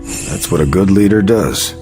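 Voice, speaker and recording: Deep, steady leader. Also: male voice, a little slow, faint music behind. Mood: approving, calm. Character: earnest, encouraging male veteran leader. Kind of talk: video game cutscene acting.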